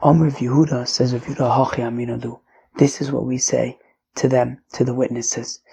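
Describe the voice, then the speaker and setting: A man with a failing, hoarse voice teaching a daily Talmud lesson in a relaxed, chatty way, sometimes dropping to a whisper. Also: medium pitch, a little fast. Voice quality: raspy, drops to whispering. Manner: casual, with fillers and mixes in Hebrew and Aramaic terms. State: losing his voice, hoarse.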